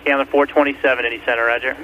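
A man's voice: medium pitch, flat delivery, racing. A man working as an air traffic controller, speaking in clipped radio phraseology over an aviation radio. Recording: muffled, noisy air traffic radio recording.

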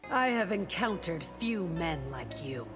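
An actress voicing a severe, unimpressed warrior priestess in a video game, formal and dry. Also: female voice, fairly low pitch, sing-song, unhurried, music in the background. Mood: stern disdain. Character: stern, devout warrior priestess, unimpressed by flirtation. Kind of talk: video game fight-intro banter.

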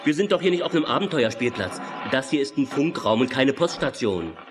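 An actor playing a cheeky, gruff male ship's radio operator, brash and slangy, in an audio drama. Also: medium pitch, big pitch swings, fairly quick, lightly acted. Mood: brash, mocking. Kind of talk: German audio drama acting.

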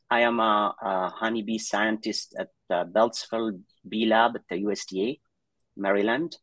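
Hesitant low-voiced man from Syria, dry sound. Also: no echo. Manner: hesitant, lots of uh and um, restarts. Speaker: guest scientist. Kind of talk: interview answers. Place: home room with bookshelves, indoors. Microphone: video call.

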